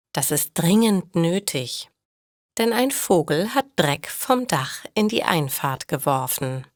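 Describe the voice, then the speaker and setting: Female narrator for learner stories: unhurried, carefully articulated, dry studio-like sound. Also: low voice, dry recording. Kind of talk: slow scripted story narration for learners.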